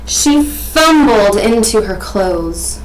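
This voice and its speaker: A woman in her twenties presenting like a teacher, expressive and questioning, as in an interactive read-aloud. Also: on the high side, slow pace, soft background music. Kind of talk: interactive picture-book reading and explanation for children. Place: small domestic room indoors. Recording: echoey room, faint background noise.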